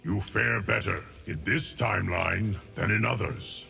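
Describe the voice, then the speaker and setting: Very deep, solemn guardian of time. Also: male voice, loud music behind the voice. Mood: solemn, measured. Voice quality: deep, gravelly. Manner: slow, measured, formal. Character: solemn, ancient, stoic male guardian of time. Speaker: video game character voice. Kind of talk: scripted game dialogue.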